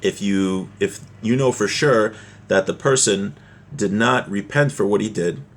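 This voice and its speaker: A man's voice: lowish voice, unhurried. A man giving an informal religious lesson as a teacher, explaining a text with everyday examples.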